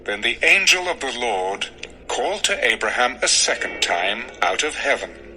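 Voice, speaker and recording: Casting: male narrator, mid-pitched, formal and measured scripture storytelling, clear music bed. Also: big pitch swings, pushed and bright. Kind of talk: solemn dramatized scripture narration. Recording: music under the voice.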